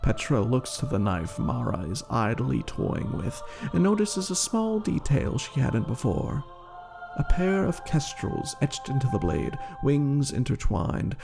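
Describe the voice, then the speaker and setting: A man delivers dramatic scripted narration at an unhurried pace. His voice is gravelly with fry and swings expressively through the phrases, with soft music underneath. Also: medium pitch. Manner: measured, theatrical. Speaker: game lore reader and streamer.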